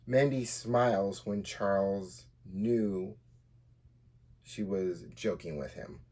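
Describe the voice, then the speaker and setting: A man's voice: lowish voice, takes it slowly, a little mellow. A man hosting a storytelling podcast as a writer, moving between casual chat and reading from their own fiction.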